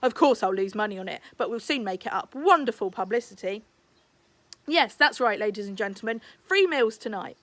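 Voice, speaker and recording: A woman's voice: medium pitch, expressive, brisk, fairly bright tone, no room sound, natural voice. A woman voicing an effusive older uncle, a wheeler-dealer restaurant owner with grandiose salesman patter, in a storybook reading. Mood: expansive showmanship.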